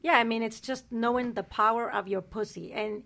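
A woman's voice: on the high side, steady tone, moderate pace, gravelly, a bit bright. Bold, blunt woman radio co-host. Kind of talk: candid talk radio chat.

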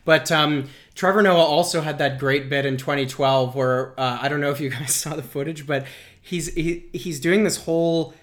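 A woman's voice: deep voice, moderate pace. A woman co-hosting a podcast, talking casually and critically about comedians, with many fillers. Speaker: podcast co-host. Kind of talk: casual political chat.

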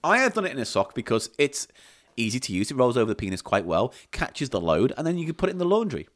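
A man's voice: medium pitch, very animated, fast. A middle-aged man, a little tipsy, co-hosting a bawdy podcast chat in a giggly, crude, teasing way. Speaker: podcast co-host.

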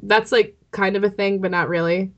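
A woman's voice: mid-range voice, fairly even intonation, normal speed. A woman co-hosting a podcast in an informal, opinionated way, sometimes reading aloud and sometimes chatting. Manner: opinionated, informal, laughs easily. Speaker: podcast co-host and producer. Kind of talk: casual chat with read-out synopsis.

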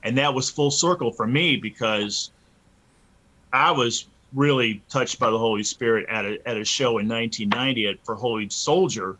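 A man's voice: medium pitch, normal speed, pushed and bright. A man of fifty-one speaking casually and earnestly as an interview guest, feeling his way through his phrases. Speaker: interview guest, metal band musician.

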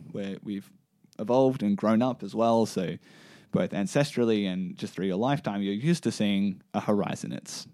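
Male podcast co-host, mellow lowish voice, dry close sound, thinks out loud with restarts. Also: moderate pace, no echo. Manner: thoughtful, restarts sentences while reasoning aloud. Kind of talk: casual science chat.

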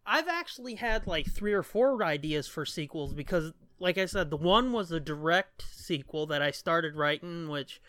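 A man's voice: fairly high pitch, expressive, moderate pace, hardly any pauses, a bit bright, no room sound. A man co-hosting a podcast in casual, rambling and casually profane chat. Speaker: podcast co-host.

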